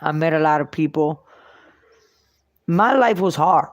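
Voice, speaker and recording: Middle-aged woman, New York Puerto Rican accent. Unscripted personal storyteller, unhurried and halting, recorded dry. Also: sits low, lively intonation, no room sound, highs cut off. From Puerto Rico and New York. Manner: halting, with long pauses between short phrases. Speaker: vlogger telling her life story.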